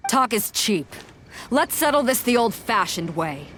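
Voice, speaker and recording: A woman's voice: a little high, sing-song, a little slow, a bit bright, slight background noise. An actress playing a bold, blunt female ship captain in a video game, confident and commanding.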